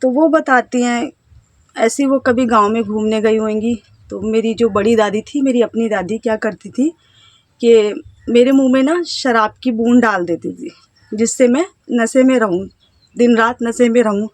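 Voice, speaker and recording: A woman in her thirties from India, a housewife telling her life story to camera in a halting, hesitant way. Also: on the high side, darkish tone, no echo. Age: 30s. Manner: hesitant, halting, with self-conscious laughs and trailing phrases. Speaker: vlogger, housewife. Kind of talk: casual personal storytelling to camera.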